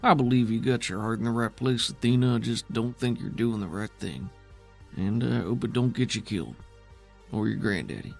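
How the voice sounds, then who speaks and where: Tabletop RPG role: gruff, folksy settlement man, acted by a male game master, gravelly, weary and stubbornly skeptical. Music underneath. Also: medium pitch, moderate pace, a little mellow, lightly acted. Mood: bitter resignation. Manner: folksy drawl-like grammar, plainspoken and skeptical.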